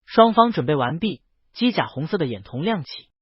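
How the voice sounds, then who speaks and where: A man narrating a first-person story in a scripted audiobook reading, with a lively and expressive delivery. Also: a little high, moderate pace, breathy edge, dry recording. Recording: dry, dull lo-fi recording.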